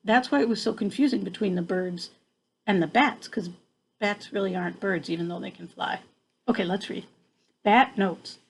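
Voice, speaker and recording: A woman's voice: mid-range voice, moderate pace, vocal fry, husky, low-quality recording. An older woman, a grandmother reading a picture book to children expressively, with playful, exclamatory asides.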